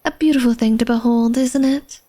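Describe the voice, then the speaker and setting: A woman's voice: fairly high pitch, steady tone, brisk, warm tone, close to an everyday voice. A woman playing an elegant, secretive vampire lady of a manor in a flirtatious ASMR roleplay, speaking formally and coyly to the listener. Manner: formal, genteel, coy, teasing speech addressed to the listener.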